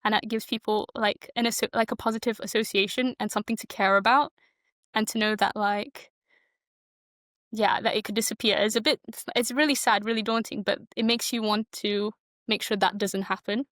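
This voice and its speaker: Young woman on a podcast, chatty and thinking out loud, not much pitch movement, dry close sound. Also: fairly even intonation, a little fast, no echo. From Malaysia, moved to the UK as a child. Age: young adult. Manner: informal, loosely structured, thinking aloud. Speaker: podcast guest. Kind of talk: casual, reflective discussion.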